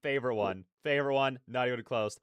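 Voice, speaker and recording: A man's voice: medium pitch, fast, clear, resonant tone, no room sound, dull audio. A man giving casual, effusive, slangy reaction commentary as a streamer, often repeating his phrases.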